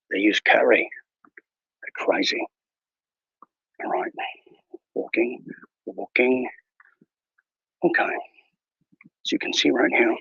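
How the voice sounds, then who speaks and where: A man playing a gravelly faux-Aussie ranger. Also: medium pitch, no echo, dull audio, exaggerated voice. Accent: mock Australian. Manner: hushed, mock-serious whispering narration. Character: bumbling boastful male wilderness ranger, adult. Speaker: mock wildlife show host. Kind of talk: improvised parody nature documentary.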